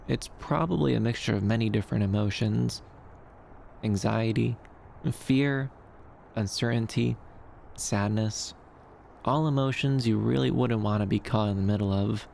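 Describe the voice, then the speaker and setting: A man playing a shy, gentle young dream fox companion, speaking softly and hesitantly in a soothing ASMR roleplay. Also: medium pitch, gravelly, a little hiss or hum. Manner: soft-spoken, bashful, hesitant, soothing. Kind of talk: ASMR roleplay, soft comforting monologue.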